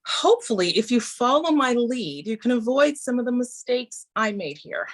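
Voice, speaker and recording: A woman's voice: fairly high pitch, lively intonation, normal speed. Businesslike young woman office manager, read by an actress. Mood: brisk, knowing. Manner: businesslike, instructive. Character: brisk, knowing office manager woman in her twenties. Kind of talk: amateur table read of TV drama.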